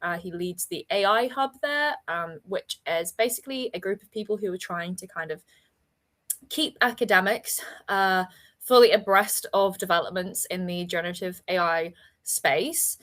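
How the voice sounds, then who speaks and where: A woman's voice: fairly low pitch, moderate pace, a bit bright. A woman hosting a webinar over a video call, talking in a chatty, enthusiastic way and often laughing. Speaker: webinar host. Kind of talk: casual webinar chat.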